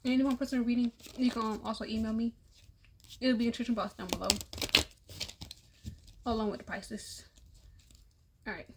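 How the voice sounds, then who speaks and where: Chatty young woman, slightly high voice. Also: fairly even intonation, a little fast, long pauses, background noise. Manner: chatty, repetitive, drifts into soft murmurs. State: losing her voice. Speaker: tarot reader. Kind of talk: casual tarot reading for all zodiac signs.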